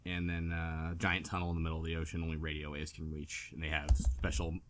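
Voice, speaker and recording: A man's voice: extremely low pitch, monotone, quick pace, slight background noise. A man chatting casually as a podcast panelist, recapping and joking in an easygoing way, sometimes trailing off. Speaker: book club podcast panelist.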